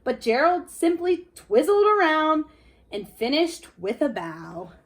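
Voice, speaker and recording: A woman with a high voice speaks as a teacher to young children. Her delivery is slow and deliberate, with a very lively, encouraging storybook lilt. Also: a little hiss or hum. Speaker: elementary art teacher. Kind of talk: expressive children's storybook read-aloud and lesson.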